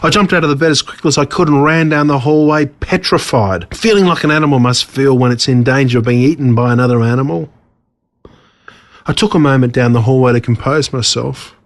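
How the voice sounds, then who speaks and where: An Australian man reads a children's story as a narrator, expressively and with dramatic pauses on key words. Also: medium pitch. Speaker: author reading own story.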